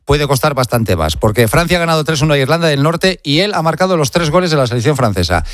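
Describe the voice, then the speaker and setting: A man's voice: on the high side, lively intonation, fast talker, no room sound, studio quality. A man with a Spain Spanish accent presenting sports news as a radio host, emphatic and conversational, with rhetorical questions and asides.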